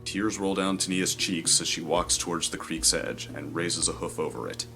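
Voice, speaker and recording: A man's voice: low voice, steady tone, moderate pace, pushed and bright, faint music behind. A man giving scripted narration of the action in an audio drama, as its narrator.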